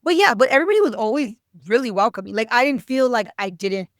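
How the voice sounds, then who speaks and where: A woman's voice: on the high side, sing-song, quick pace, no room sound, clean studio sound. A woman in her thirties chatting casually as an actor guest in an interview, informal and talking in short chatty bursts.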